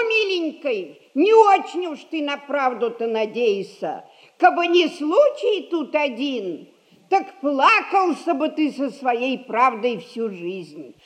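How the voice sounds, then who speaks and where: An older actress playing a shrewd, domineering old merchant matriarch in a 1960s Russian radio comedy, drawling in a folksy, mocking way. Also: female voice, high voice, heavily acted. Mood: sententious. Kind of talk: classic Russian stage comedy acting, 1960s radio play.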